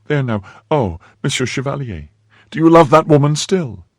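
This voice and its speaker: A man's voice: medium pitch, expressive, moderate pace, no echo, clean studio sound, pitched above the performer's own voice, close to an everyday voice. A man voicing a frightened young French maid in a storybook, emotional and anxiously pleading. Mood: agitated, fearful.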